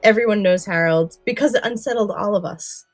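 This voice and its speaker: A woman's voice: mid-range voice, a little fast, over loud music. A woman co-hosting a podcast in casual, enthusiastic chat, stressing points by repeating words. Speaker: podcast co-host.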